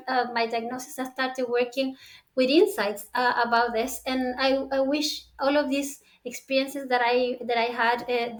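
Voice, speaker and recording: High-voiced Chilean woman presenting a course. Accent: Chilean Spanish. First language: Spanish. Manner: halting, non-native English phrasing. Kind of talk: course introduction to camera.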